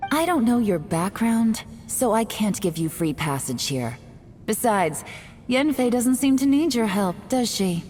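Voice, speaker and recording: A woman's voice: fairly high pitch, big pitch swings, measured pace, a little mellow. A woman voicing a cool, confident, secretive official in an anime-style game, composed, dry and authoritative. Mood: dismissive. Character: cool, confident, secretive female official guarding restricted ground. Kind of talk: anime game cutscene voice acting. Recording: music behind the voice.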